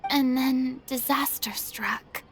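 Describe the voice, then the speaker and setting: A posh-mannered young stage diva for an anime game, high voice, articulate n kindhearted, music under it. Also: female voice, steady tone, slow. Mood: shocked. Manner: theatrical, articulate, formal phrasing. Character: elegant young former star performer, proud yet kindhearted. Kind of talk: anime video game voice acting.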